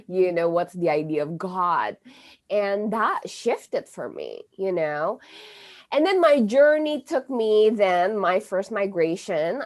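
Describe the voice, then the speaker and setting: A middle-aged woman whose first language is Indonesian, speaking in an unhurried, measured way with a mid-range voice. She talks as a guest in a conversational interview, pausing and restarting often and filling gaps with "you know" and "like.". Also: fairly low pitch. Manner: halting, many fillers and restarts. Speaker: astrologer guest.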